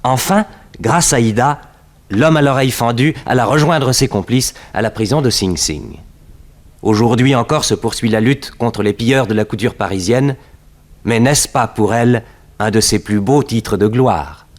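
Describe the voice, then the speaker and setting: A man acting as storyteller of a vintage detective serial. His medium-pitched voice delivers formal, literary narration in a composed 1960s radio style. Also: lightly acted. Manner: measured, literary. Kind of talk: scripted 1960s French radio drama narration.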